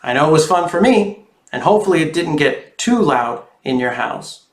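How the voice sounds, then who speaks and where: A man with a slightly high voice in a very roomy, echoey space. He reads a children's storybook expressively and speaks straight to the kids watching, like an author hosting story time. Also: normal speed. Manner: expressive, addresses young viewers directly. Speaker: children's author reading aloud. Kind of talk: animated storybook read-aloud and friendly address to children.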